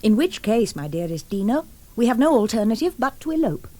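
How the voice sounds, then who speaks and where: An actress playing a romantic, wilful young bride-to-be in a period radio drama, gushing and imperious. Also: female voice, on the high side, big pitch swings, brisk, background noise. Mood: wilful, teasing. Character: romantic, wilful, theatrical fiancée, then neglected new bride.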